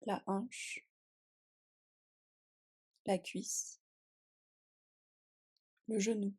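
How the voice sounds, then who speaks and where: A woman guiding a relaxation meditation in a calm, soothing and unhurried manner, speaking in short phrases with long pauses. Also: mid-range voice, husky. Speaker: yoga nidra meditation guide. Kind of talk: guided relaxation meditation, scripted.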